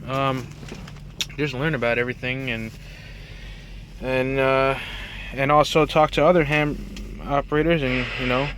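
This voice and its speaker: A man's voice: mid-range voice, flat delivery, a little slow, pauses often, a bit bright, a little hiss or hum, poor audio. A man talking in a casual, rambling, self-effacing way, like an unscripted hobby vlog by a truck driver. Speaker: truck driver and CB radio hobbyist presenting his setup.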